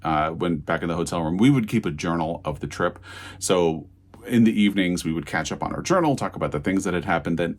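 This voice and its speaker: A man with a low-pitched voice hosts a casual book review. He speaks directly to viewers in a candid, conversational way and leans on his opinions with clear emphasis. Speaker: book reviewer and video host.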